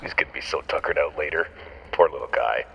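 An actor playing a brusque security guard with a wry streak in a video game. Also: male voice, extremely low pitch, fast, vocal fry, in a large hall, muffled recording, slight background noise. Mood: mock sympathy.

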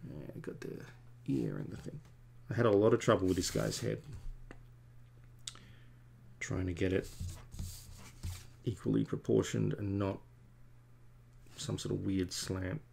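Gravelly low-voiced man rambling while drawing. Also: steady tone, unhurried, pauses often, a little mellow. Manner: rambling, chatty, self-deprecating, reads out chat comments. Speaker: comic artist livestreaming. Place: home studio at a drawing desk.

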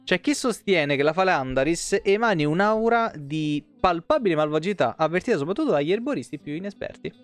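A man's voice: fairly high pitch, lively intonation, a little fast, hardly any pauses. A man, live streaming casually in slangy, foul-mouthed talk and reading game lore aloud. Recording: music playing behind the voice. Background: soft tavern guitar music.

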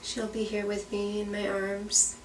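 Even-toned woman in an echoey room. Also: mid-range voice, a little slow. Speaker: adoptive parent, interviewee. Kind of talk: reflective documentary interview. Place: room at home, indoors. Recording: echoey room, distant mic, faint noise.

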